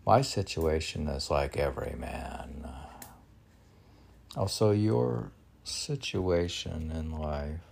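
A man's voice: low-pitched, expressive, takes it slowly, creaky, a little mellow. A man reading his own poems, rambling and questioning himself in a second voice. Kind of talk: casual self-questioning poetry reading.

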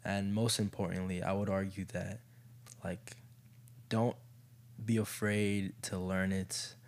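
A man's voice: low voice, little pitch movement, takes it slowly. A young Kenyan man co-hosting a podcast, chatting casually and supportively. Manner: supportive, frequent short affirmations. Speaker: podcast co-host. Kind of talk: casual conversational chat.

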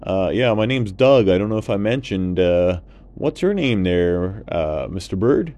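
A man's voice: deep voice, animated, unhurried, warm tone. A man voicing a friendly, bumbling, polite goose in a children's bedtime story, with a Canadian accent and a rambling, hesitant, folksy way of talking. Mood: sheepish, chatty.

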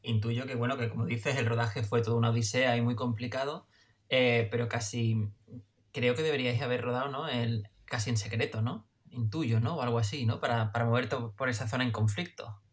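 A man hosting a podcast about documentaries. His voice sits at a medium pitch, and he phrases his questions tentatively, checking in with repeated tag questions. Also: steady tone, brisk. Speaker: podcast host. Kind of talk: podcast interview.

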